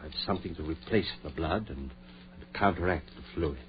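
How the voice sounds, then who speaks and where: An actor playing a driven, grieving surgeon-scientist in 1940s radio style, intense, insistent and commanding. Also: male voice, a bass voice, normal speed. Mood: urgent, commanding. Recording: muffled, noisy 1940s radio recording with hum.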